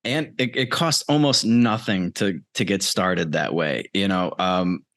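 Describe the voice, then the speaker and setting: A man's voice: low-pitched, a little slow, fairly bright tone, no echo. An American man in middle age talking casually and conversationally as a podcast guest, on a close mic over a video call. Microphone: close mic on a remote video call.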